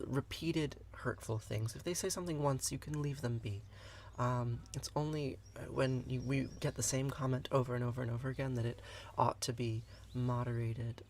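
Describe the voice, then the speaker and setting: A man hosting a live-streamed lesson, explaining scripture in an earnest, chatty way and stopping to answer viewers with fillers and quick laughs. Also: medium pitch. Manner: explanatory, with frequent fillers and restarts. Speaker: livestream host teaching scripture. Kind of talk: live-streamed lesson mixed with chat replies.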